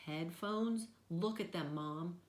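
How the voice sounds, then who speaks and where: A woman's voice: lowish voice, takes it slowly, reverberant. A woman voicing a self-conscious, whining fifth-grade boy in a storybook read-aloud. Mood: angry. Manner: whining, protesting.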